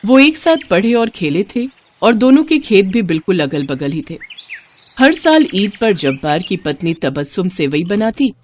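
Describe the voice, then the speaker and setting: A woman's voice: mid-range voice, sing-song, moderate pace, a little hiss or hum. A woman reading a scripted children's story as the storyteller.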